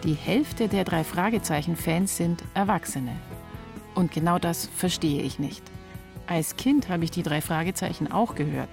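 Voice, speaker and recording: A woman in her forties with a fairly low voice narrates as a reporter. Her delivery is scripted documentary narration, with faint music underneath. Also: moderate pace, creaky. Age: 40s.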